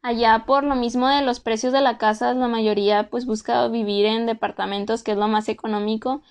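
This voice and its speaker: A woman's voice: on the high side, fairly even intonation, moderate pace, clear, resonant tone. A young woman in her twenties with a Monterrey Mexican accent, casually recounting her time as an exchange student, talking conversationally with lots of fillers. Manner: conversational, frequent "pues" and "o sea" fillers. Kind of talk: casual first-person account.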